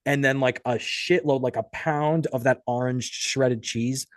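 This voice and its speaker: A man's voice: medium pitch, steady tone, a little slow, no echo, studio quality. A man hosting an interview in a casual, conversational style. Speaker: interview host.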